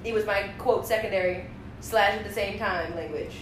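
A woman's voice: mid-range voice, normal speed, noisy background. A young woman chatting casually on a student podcast, joking and theatrical in her delivery. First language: Spanish. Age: young adult. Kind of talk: casual chat. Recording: echoey room.

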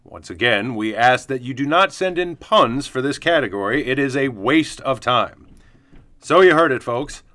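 A man's voice: mid-range voice, expressive, moderate pace, pushed and bright. A man playing a slick, self-important local TV news anchor in a parody broadcast, polished and emphatic. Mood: judging, pompous.

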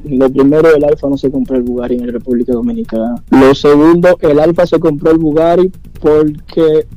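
A man answers questions on a phone call. His voice is somewhat high yet dark and soft-edged. He sounds earnest and hesitant, with faint music behind him. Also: fairly even intonation, brisk, no room sound. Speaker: prank call victim.

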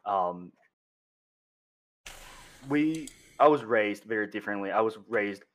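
A man's voice: lowish voice, animated, slow pace, slight background noise. A man from China, a podcast guest, telling a story in a rambling way with many fillers and restarts. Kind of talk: casual storytelling chat.

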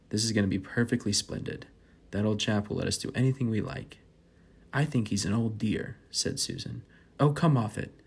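A man's voice: lowish voice, gravelly, breathy edge. A man chatting casually and hesitantly in a self-deprecating way, sometimes reading aloud. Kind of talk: casual rambling chat, with some reading aloud from a book.

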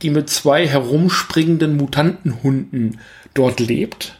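A man's voice: on the high side, unhurried. A man co-hosting a casual film review podcast, talking like an enthusiastic fan who often stops to correct himself. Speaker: podcast co-host reviewing films.

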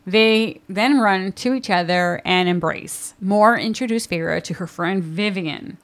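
A woman in her thirties with a deeper voice, co-hosting a podcast and recapping book chapters in casual, giggly chat that often trails off. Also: measured pace. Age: 30s. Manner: giggly, chatty, often trails off mid-sentence. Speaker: podcast co-host.

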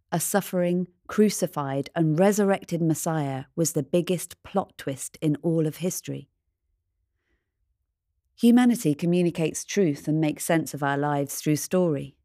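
A woman's voice: deep voice, mellow, no echo, clean studio sound. A woman reading a scripted devotional narration. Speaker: devotional reader.

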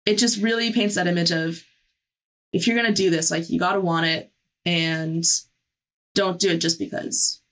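A woman's voice: on the low side, fairly even intonation, moderate pace, a bit bright. A woman hosting an interview, talking in a casual, encouraging and affirming way. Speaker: podcast host interviewing applicants.

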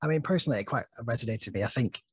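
A man's voice: medium pitch, lively intonation, racing, husky, no echo, clean studio sound. A man from Scotland, a podcast host who is also a game master, chatting casually and self-deprecatingly from home. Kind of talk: casual interview chat.

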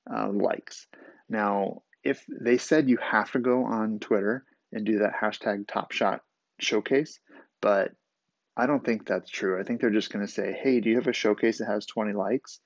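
A raspy man talks through his solo podcast with a low, gravelly voice. He sounds groggy and rambles a little, with fillers and restarts. The sound is dry and a bit dull. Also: dry recording. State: groggy after a vaccine. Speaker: podcast host. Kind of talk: casual solo podcast talk.

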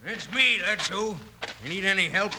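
A man's voice: high-pitched, expressive, normal speed, background noise. An actor playing a cantankerous, nosy frontier man with rustic Western speech in a 1950s radio western. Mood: nosy, gruff. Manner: rustic Western dialect, scoffing.

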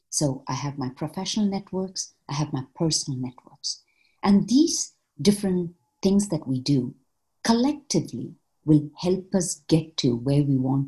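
Low, mellow-voiced woman social worker. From Malaysia. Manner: reflective, measured, many fillers. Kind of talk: interview answers.

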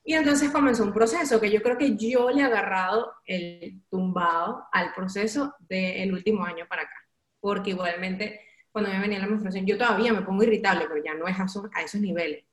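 A woman with a Venezuelan accent speaks as a guest sharing her own story. Her delivery is candid, expressive and confiding, full of colloquial turns. Her pitch sits in the middle range for a woman, and the room adds a clear echo. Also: brisk. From Valencia, Venezuela. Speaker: interview guest, radio and event host. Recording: roomy, echoing sound.

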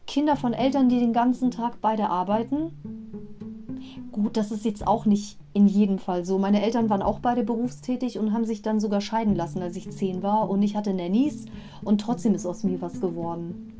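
A woman's voice: on the high side, mellow. A woman hosting a fan podcast, retelling a children's audio story in a chatty, digressive way with personal asides. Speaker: podcast host.

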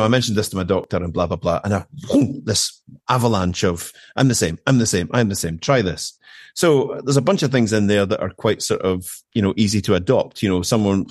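A man's voice: lowish voice, dry recording, clean studio sound. A middle-aged British man chatting casually as an interview guest, telling stories with emphatic repetition and colloquial asides. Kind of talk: casual conversation, anecdotal.

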